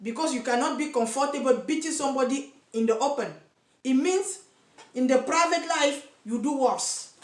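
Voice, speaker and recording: A woman's voice: sits high, normal speed. Impassioned Cameroonian woman, echoey room. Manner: impassioned, repeats words while searching for English. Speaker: activist for single mothers. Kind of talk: direct-to-camera vlog.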